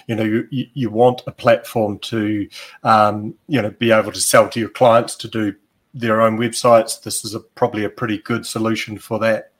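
A man's voice: on the low side, moderate pace. Man, New Zealand accent, podcast host, casual, filler-heavy. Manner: relaxed, many ums and restarts. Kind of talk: casual interview.

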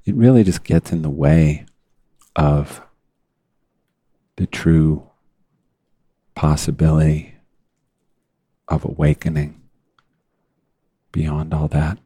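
Very deep-voiced man guiding meditation. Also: fairly even intonation, dark tone, husky, no echo. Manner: measured, deliberate, with long pauses. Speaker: meditation teacher leading a guided meditation. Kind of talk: unscripted guided meditation talk.

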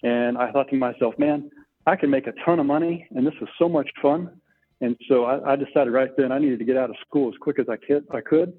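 A man's voice: mid-range voice, a little fast, slightly rough. Phone-like, muffled middle-aged man. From Texas, United States. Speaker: interview guest, business owner. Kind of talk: casual conversational storytelling. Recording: muffled, tinny phone-like connection.

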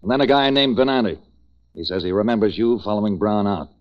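An actor playing a gruff, sarcastic police detective with an American accent, needling and accusing in 1940s radio drama style. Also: male voice, lowish voice, expressive, quick pace, natural voice. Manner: needling, sardonic. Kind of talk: 1940s hardboiled radio acting. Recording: muffled lo-fi 1940s radio recording.

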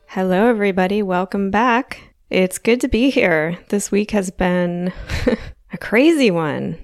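A woman in her forties hosting her own podcast in a chatty, casual way, a little hoarse from a frog in her throat and laughing lightly between thoughts. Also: medium pitch, a little slow, no room sound, soft background music. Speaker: podcast host. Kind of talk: casual solo podcast talk.

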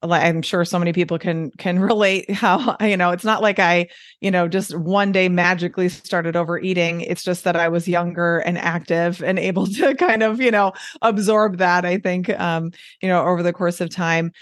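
A Midwestern American woman in her forties talks easily as a podcast guest. Her voice sits fairly low, and it is recorded very dry and close. She chats along, dropping in fillers and small laughs. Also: steady tone, normal speed, dry recording. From United States. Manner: chatty, with frequent fillers and light laughs. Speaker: guest, weight loss coach. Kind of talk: casual podcast conversation.

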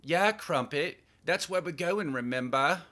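A cheeky young East London woman played by a male narrator, pitched up and bright. She is slangy, blunt and affectionate, a sci-fi audiobook sweetheart who likes to needle her boyfriend. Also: lively intonation, measured pace. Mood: playful teasing. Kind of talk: audiobook character dialogue.

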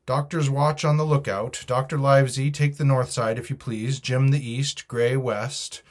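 A man's voice: medium pitch, steady tone, moderate pace, voice pushed up, lightly acted. A man voicing a stern, scornful sea captain giving clipped, authoritative orders in a storybook reading. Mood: commanding, wary.

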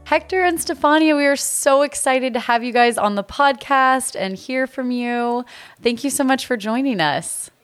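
Woman co-host, a bit high-pitched, welcoming and excited. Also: normal speed, smooth. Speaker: podcast co-host. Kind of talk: podcast hosting.

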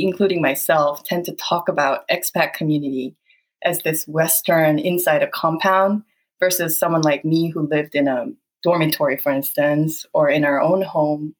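A woman with a Korean accent speaks in a fairly low voice. She answers interview questions earnestly and thoughtfully. She laughs easily as she recalls her past. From South Korea. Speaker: guest.

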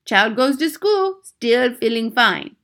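A woman's voice: on the high side, sing-song, slow, fairly bright tone, heavily acted. A woman voicing a wicked, bloodthirsty old witch leader in a storybook, commanding and triumphant, speaking in broken, foreign-sounding grammar. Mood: gloating, triumphant.

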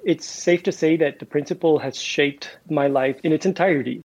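A man's voice: fairly high pitch, normal speed, darkish tone. A man from Honduras, a physics professor, answering interview questions thoughtfully, often restarting his words.

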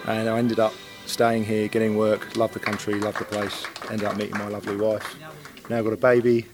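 A man from England with an English accent, chatting casually in an interview as a new citizen, relaxed with ums and yeahs. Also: fairly low pitch, a little fast, over music.

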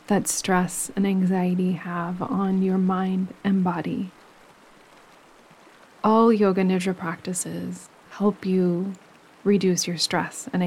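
A woman leading a guided relaxation meditation as a yoga nidra teacher, speaking gently and soothingly, with pauses between phrases. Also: medium pitch, husky, low-quality recording. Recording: steady running stream water behind voice. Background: gentle running stream sounds.

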